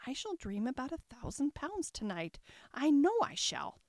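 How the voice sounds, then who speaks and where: Young storybook heroine, acted by a woman: high, lively, curious, slightly petulant, dry sound. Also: moderate pace, no room sound. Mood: bemused.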